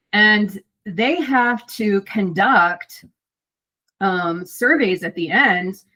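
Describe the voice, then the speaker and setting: A woman's voice: mid-range voice, pushed and bright, rough recording. A middle-aged woman, an audiobook narrator and voice actor, chatting casually in an interview, talkative with many fillers and restarts.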